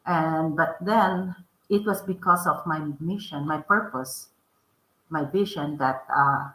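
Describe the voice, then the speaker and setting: A middle-aged woman with a strong Filipino accent speaks earnestly, often stopping to restart a sentence. Her voice is bright and a little low for a woman. The room echoes around her. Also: slow pace. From Philippines. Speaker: podcast guest, author. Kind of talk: interview answers.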